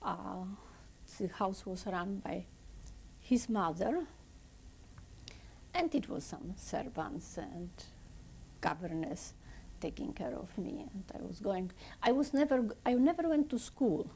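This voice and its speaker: A woman in her seventies from Poland with a Polish accent, giving halting, slow oral history testimony in non-native English. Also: mid-range voice, big pitch swings, vocal fry, mellow, a little hiss or hum, low-quality recording. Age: 70s. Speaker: interviewee.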